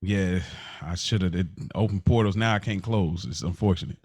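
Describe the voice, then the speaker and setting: A man's voice: deep voice, a little fast, a little mellow, no echo. A man co-hosting a podcast, talking candidly and reflectively in a casual, slangy way. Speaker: podcast co-host.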